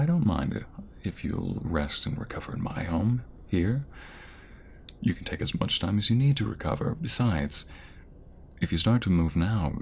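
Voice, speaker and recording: A man's voice: low-pitched, vocal fry, a little hiss or hum, heavily acted. A man acting in an intimate audio roleplay as a male firefighter, an obsessive captor who poses as a caring rescuer and talks in a coaxing, insistent way. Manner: coaxing, softly insistent, hesitant stammers.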